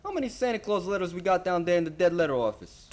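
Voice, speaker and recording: A man acting an excitable high-pitched postman. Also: quick pace. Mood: eager scheming. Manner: chummy, slangy, eager. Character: excitable working-class male postman with a scheme. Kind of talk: radio play acting.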